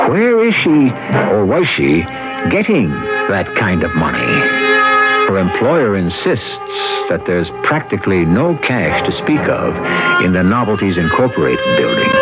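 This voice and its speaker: A man hosting a radio drama, with deliberate, rhetorical, theatrical scripted narration. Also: fairly low pitch. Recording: dull vintage radio, loud music behind. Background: music.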